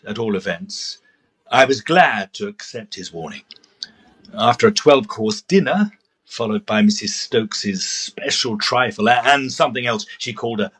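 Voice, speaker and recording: Man playing a husky, pompous gentleman investigator. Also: mid-range voice. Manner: pompous, dry, commanding. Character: brisk, sceptical gentleman ghost investigator. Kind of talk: narrated period audio drama.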